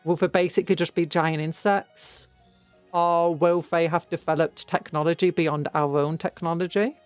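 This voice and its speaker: A British livestreamer with a mid-pitched voice talks casually to chat. The delivery is rambling and musing, with stop-start self-corrections. The sound is close and dry, with faint music underneath. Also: steady tone, moderate pace, clear, resonant tone, no room sound. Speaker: VTuber livestreamer. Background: background music.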